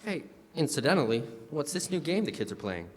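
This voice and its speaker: A man's voice: fairly high pitch, very animated, brisk. A man acting a suburban husband and father in a classic radio drama style, theatrical and demanding. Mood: breezy, affable. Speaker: actor in a live stage radio play. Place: on stage in a school hall. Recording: echoey stage hall, music behind.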